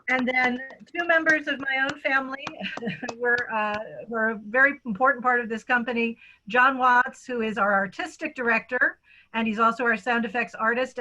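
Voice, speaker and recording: A woman's voice: on the high side, pushed and bright, a little hiss or hum, low-quality recording. A middle-aged woman, a theatre director, hosting an online event with introductions and thanks. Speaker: theatre company director and host.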